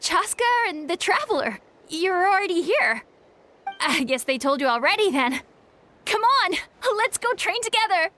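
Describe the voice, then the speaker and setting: Very high-pitched, eager girl. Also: normal speed, full character voice. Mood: eager, coaxing. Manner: insistent, excitable. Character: eager, pushy, bubbly young girl trainee. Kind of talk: animated game dialogue.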